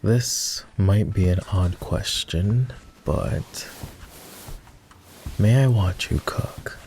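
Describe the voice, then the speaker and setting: A man voicing a polite, self-deprecating old vampire in an intimate roleplay ASMR style, with formal, hesitant phrasing and a soft, husky delivery. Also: fairly low pitch, drawn-out words, a little hiss or hum, heavily acted. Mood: shy, awkward. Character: polite, self-deprecating, gently teasing ancient male vampire.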